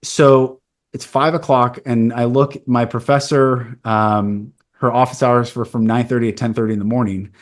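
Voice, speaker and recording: A man's voice: medium pitch, fairly even intonation, moderate pace. A man in his forties with a mid-range voice hosts a podcast. He shares a personal story in a candid, earnest, conversational way. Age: 40s. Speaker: podcast host. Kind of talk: casual conversation.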